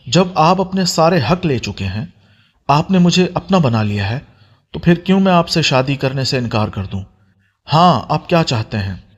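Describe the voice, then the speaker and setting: A man narrator plays a hurt young woman in a romance audio story. She is indignant and defiant, with a husky edge to the voice. The sound is slightly lo-fi. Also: fairly high pitch.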